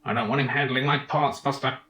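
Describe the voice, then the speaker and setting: A man's voice: medium pitch, steady tone, brisk, a bit bright, roomy sound, close to an everyday voice. A man voicing a cocky little robot sidekick from an adventure game, sarcastic, pedantic and dismissive. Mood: indignant.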